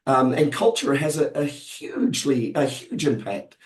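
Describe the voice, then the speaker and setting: A man from New Zealand with a croaky voice from being unwell, answering webinar interview questions over a video call, hesitant with many fillers and restarts. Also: mid-range voice, very animated, measured pace, reverberant. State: croaky, recovering from illness.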